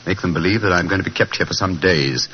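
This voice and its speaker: An actor playing a shrewd, self-possessed gentleman detective with a British accent, speaking briskly and precisely in 1940s radio drama style. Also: low-pitched, close to an everyday voice. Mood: confiding, calculating. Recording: muffled, noisy vintage radio recording.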